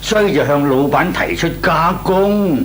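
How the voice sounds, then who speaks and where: A man playing a patient older uncle in an old Hong Kong Cantonese radio comedy, explaining and chiding in a deliberate way. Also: on the high side, fairly bright tone, natural voice. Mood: curious. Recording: old radio recording with hiss and hum.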